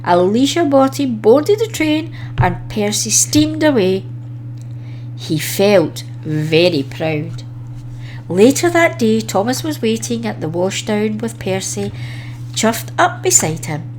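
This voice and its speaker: A woman reading a children's story aloud as an expressive storyteller. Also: mid-range voice, slow, a little hiss or hum, low-quality recording.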